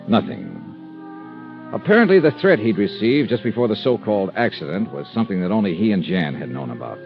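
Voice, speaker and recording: Hard-boiled, low-voiced radio detective. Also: male voice. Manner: clipped, colloquial, world-weary. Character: tired, hard-boiled male insurance investigator. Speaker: lead actor and narrator. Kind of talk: 1950s radio detective drama acting. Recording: muffled vintage radio broadcast with music.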